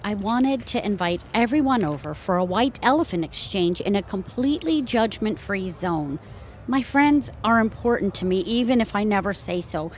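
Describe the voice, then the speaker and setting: A woman's voice: medium pitch, animated, moderate pace, a little hiss or hum, close to an everyday voice. Woman acting as a wry, sarcastic middle-aged mother. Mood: contrite. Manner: wry, self-deprecating. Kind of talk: audio theatre comedy-drama acting.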